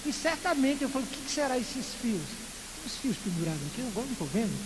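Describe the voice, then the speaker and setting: A man from Recife preaching a sermon as pastor, lively, full of rhetorical questions and playful asides. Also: very high voice, fast talker. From Recife, northeastern Brazil. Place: church sanctuary. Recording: echoey church hall with loud hiss.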